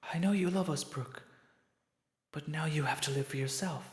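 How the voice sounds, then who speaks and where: A man voicing a gentle, loving female ghost pony in a dramatic story reading, soft, breathy and reassuring. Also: on the high side, reverberant, pitched above the performer's own voice, natural voice. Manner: tender, unhurried reassurance.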